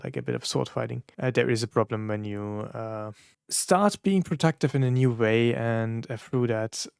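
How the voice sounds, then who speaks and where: Halting German man with gravelly voice. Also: medium pitch, no room sound, studio quality. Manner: halting, many fillers and restarts, searching for words. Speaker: content creator reacting to songs. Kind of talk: casual reaction commentary.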